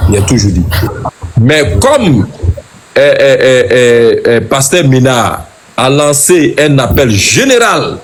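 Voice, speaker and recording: Man, mid-pitched voice, hesitant moderator, faint noise behind. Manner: hesitant, measured, many fillers. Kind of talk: live-stream debate moderation.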